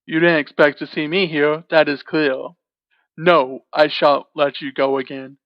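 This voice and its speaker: A woman reading a storybook aloud and voicing a gruff, bossy male giant who talks slowly and menacingly in a low, loud voice. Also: flat delivery, dry recording. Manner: booming, slow and menacing. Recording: dull, dry lo-fi recording.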